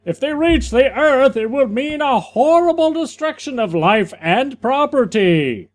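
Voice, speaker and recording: A man voicing an earnest male superhero wizard, reading heroic lines slowly and deliberately for a comic reading. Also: very high-pitched, animated, pitched above the performer's own voice.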